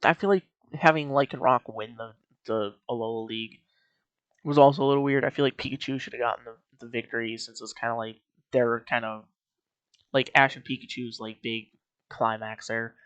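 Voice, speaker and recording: A man's voice: mid-range voice, fairly even intonation, moderate pace, a bit bright, dry recording, dull audio. A man hosting a casual, opinionated video commentary, speaking emphatically as he ranks and critiques. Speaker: video host giving opinions on an anime series. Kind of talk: casual commentary ranking a cartoon series.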